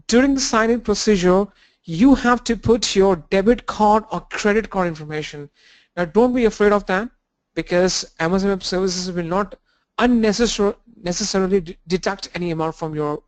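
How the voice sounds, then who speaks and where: A man with a cold and a blocked nose, teaching an online training lesson with halting fillers and restarts. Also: high voice, poor audio. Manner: halting, restarts and fillers, walks through screens. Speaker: instructor.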